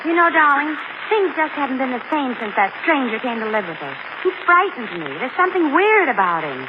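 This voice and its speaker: A man voicing a timid, worried wife in a 1940s radio comedy sketch, in a high falsetto. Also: sing-song, fairly quick. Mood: uneasy. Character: fretful wife, adult woman, timid. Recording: muffled 1940s radio broadcast with hiss.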